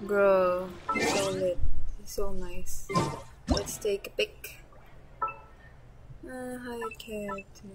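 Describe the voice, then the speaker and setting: A woman's voice: medium pitch, slow pace, long pauses. A woman streaming a game, giving casual live reactions. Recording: music behind the voice.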